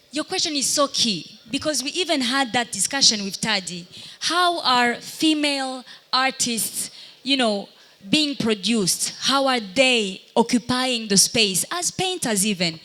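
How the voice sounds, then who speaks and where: Woman speaking with halting reflection. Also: a little high, lively intonation, pushed and bright. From Burundi. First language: Kirundi. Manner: halting and reflective, pausing to search for words. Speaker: dancer and panelist. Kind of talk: live panel discussion answering audience questions.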